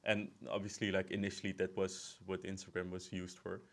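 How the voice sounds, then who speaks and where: A man's voice: sits low, completely flat delivery, brisk, a bit bright. A man hosting a podcast, interviewing casually and feeling his way through loosely phrased questions. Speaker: podcast host.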